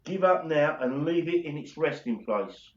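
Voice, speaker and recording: A man's voice: on the high side, lively intonation, reverberant, close to an everyday voice. A man acting a threatening, mysterious guardian of cursed treasure in an amateur radio play, on a muffled, noisy home recording. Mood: menacing. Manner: slow, threatening.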